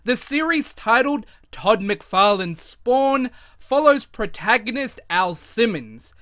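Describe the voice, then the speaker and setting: Man, 30s, scripted review narration, very high voice, dry close sound. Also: dry recording. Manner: measured, reflective, even delivery. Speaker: retrospective reviewer.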